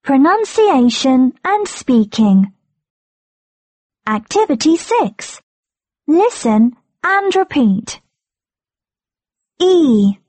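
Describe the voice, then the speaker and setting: A woman narrating textbook audio, reading out lesson headings and instructions slowly and clearly. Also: high-pitched, big pitch swings, a little mellow, no room sound. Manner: slow, clearly enunciated instructions.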